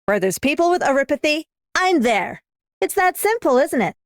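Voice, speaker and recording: A woman's voice: sits high, sing-song, normal speed, no room sound. An actress voicing a brash, confident female medic-warrior in a game, blunt and breezy. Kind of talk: scripted game character voice line.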